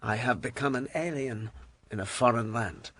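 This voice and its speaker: A man's voice: medium pitch, moderate pace, fairly bright tone, no room sound. An actor playing an earnest, troubled Hebrew man in a dramatized scripture reading. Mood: reflective.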